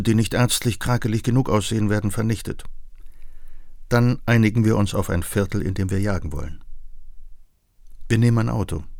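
Creaky-voiced morphine addict. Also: male voice, fairly low pitch, darkish tone, no room sound, clean studio sound. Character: male morphine addict, desperate, scheming and coolly candid. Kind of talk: literary radio play monologue and dialogue.

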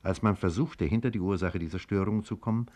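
A man's voice: low-pitched, fast, darkish tone, lightly acted. An actor playing a reflective, inquisitive man in a 1950s German radio drama, speaking in orderly, considered sentences. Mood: narrating.

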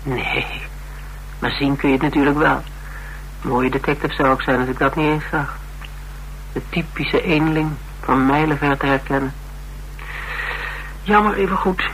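A businesslike Dutch detective in a radio drama. His voice sits a little high and has a bright, tense edge. He questions directly and teases drily, with faint hiss on the old recording. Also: steady tone, measured pace, long pauses, low-quality recording. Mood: probing, dry. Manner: inquisitive, direct, drily teasing. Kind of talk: naturalistic radio play dialogue.

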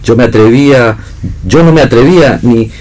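A man's voice: mid-range voice, moderate pace, a little mellow, slight background noise, natural voice. An actor playing a jealous, lovesick man in a theatrical play reading. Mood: anguished longing. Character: jealous lovesick man, proud of being male. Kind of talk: read-theatre stage acting on radio.

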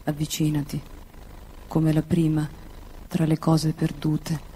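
Mellow, even-toned woman reading poetry. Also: low-pitched, slow, a little hiss or hum. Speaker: poet reading own work.

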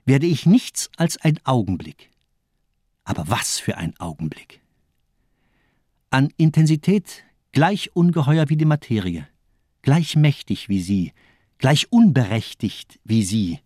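An actor playing a fanatical, cold-blooded doctor and torturer in a crime radio play, declaiming in a grandiose, rhetorical way. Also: male voice, mid-range voice, very animated, takes it slowly, mellow, slightly rough, dry recording, studio quality, heavily acted. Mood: impassioned, cruel. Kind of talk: dramatic radio play monologue.

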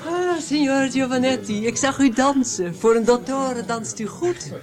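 Sly, teasing princess over music. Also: female voice, high-pitched, a little slow. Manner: teasing, aristocratic, insinuating. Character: haughty, teasing, sly princess with a dark streak. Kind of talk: radio drama dialogue. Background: music behind the voice.

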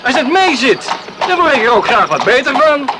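A man's voice: extremely high pitch, sing-song, normal speed. An actor playing an eager, plain-spoken island lifeboatman in a radio play, arguing his case emphatically. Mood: eager, defensive. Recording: noisy radio play recording, outdoor sound effects.

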